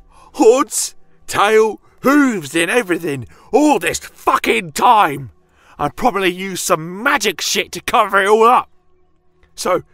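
A man's voice: high voice, a bit bright, no echo, voice pushed up, exaggerated voice. A man playing a bitter, enraged village barkeep who snarls with zealous fury in a fantasy audio story. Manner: snarling, foul-mouthed, drawn-out furious delivery. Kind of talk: fantasy audio drama acting.

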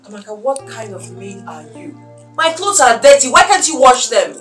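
A woman's voice: fairly high pitch, sing-song, moderate pace, a bit bright, roomy sound, faint music behind. An actress with a Nigerian accent playing a spoiled, short-tempered young madam in a screen drama, haughty and exasperated as she scolds. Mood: irritated, demanding. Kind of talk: melodramatic screen drama acting.

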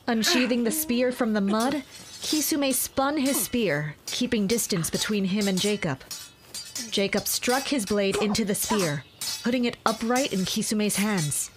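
A woman's voice: mid-range voice, measured pace, background noise, close to an everyday voice. A woman narrating a fantasy audio drama as a composed storyteller, reading scripted action.

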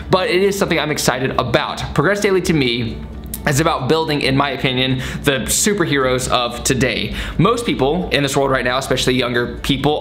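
A man's voice: fairly high pitch, fairly bright tone. A man in his twenties talking casually and energetically to the camera in a small home studio, gesturing as he speaks. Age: 20s. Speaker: vlogger. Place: small bedroom studio, indoors.

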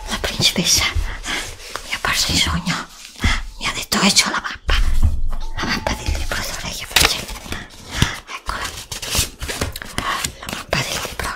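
A woman's voice: moderate pace, pauses often, natural voice. Frightened whispering heroine, acted by a woman. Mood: anxious, resolving. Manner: anxious, breathy, repeating herself in short bursts. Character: frightened, desperate young heroine lost in a magic forest. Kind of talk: whispered ASMR roleplay. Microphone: close USB studio microphone.

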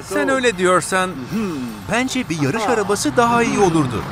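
A man's voice: high voice, sing-song, normal speed, very noisy. A man narrating a children's cartoon in a cheerful, encouraging way, talking to the vehicles he helps. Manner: encouraging, talks to the truck and little cars. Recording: with loud background music.

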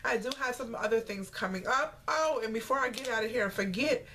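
A woman's voice: fairly high pitch, a little fast, pushed and bright, a little hiss or hum. A woman in her thirties chatting casually in a makeup tutorial, her voice stuffy and nasal from a cold, talking straight to her viewers from a webcam at home. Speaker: beauty vlogger. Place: bedroom at home, indoors.

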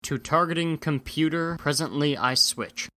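Guy playing resolute pilot commander, forceful highish voice, olde english verse, dry recording. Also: moderate pace, no echo. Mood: alert. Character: resolute male rebel squadron leader. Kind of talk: dramatic reading in Elizabethan verse.

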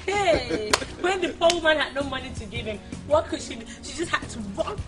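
A woman's voice: high-pitched, a little fast, over music. A woman playing an outspoken, playful young wife in a film drama, with a Nigerian accent and an exclamatory manner. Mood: animated, indignant. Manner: expressive, exclamatory. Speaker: actress.

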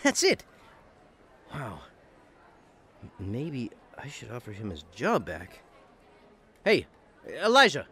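A man's voice: medium pitch, wildly swooping pitch, slow pace, long pauses, pushed and bright, husky, exaggerated voice. A man playing a bitter man everyone ignores. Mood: puzzled. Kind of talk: radio drama acting.

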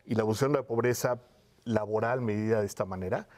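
A man, explaining as a studio guest in a halting, self-correcting way. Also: lowish voice, darkish tone. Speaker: academic researcher, studio guest. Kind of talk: televised panel interview, explanatory. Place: television studio panel desk. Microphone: lapel.

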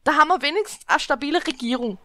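A woman acts a scornful club-goer. Her voice is high, bright and cutting, recorded dry for an audio spoof. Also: expressive, very fast, no room sound. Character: scornful Austrian female audience member. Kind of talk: parody detective radio play.

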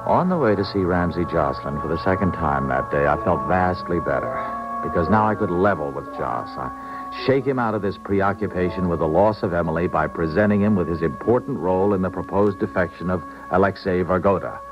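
Deep-voiced, expressive ex-cop. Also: male voice, over music. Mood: reflective. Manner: measured, reasoning. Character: hard-headed ex-police officer, loyal friend and narrator. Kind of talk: 1970s radio drama acting and first-person narration. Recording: dull 1970s radio recording.

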